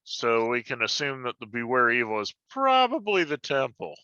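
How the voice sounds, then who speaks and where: A man with a very sharp, bright voice talks as a player in a tabletop game, casually working out plans. His pitch is average, and the recording is dry and somewhat dull. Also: sing-song, normal speed, smooth, no echo.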